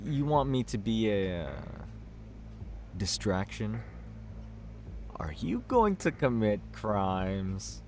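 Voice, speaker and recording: An actor playing a chatty, good-natured art buff in a video game, with an expressive, lecturing and teasing way of talking. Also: male voice, on the low side, slow, long pauses, noisy background, heavily acted. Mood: eager, showy.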